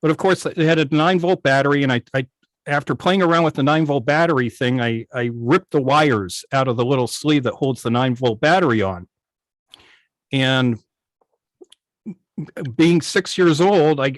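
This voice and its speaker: A man's voice: a little high, moderate pace, no room sound. Middle-aged American man, dry close sound. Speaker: guest, old-time radio station operator. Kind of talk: casual anecdotal storytelling. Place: indoors on a video call.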